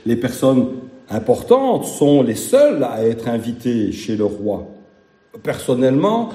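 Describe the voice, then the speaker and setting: A man preaching to his congregation, his voice deeply dark and mellow. He mixes homely jokes with earnest repetition, and the sound carries an echo from the room. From Belgium. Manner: folksy, humorous, emphatic repetition. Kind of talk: sermon.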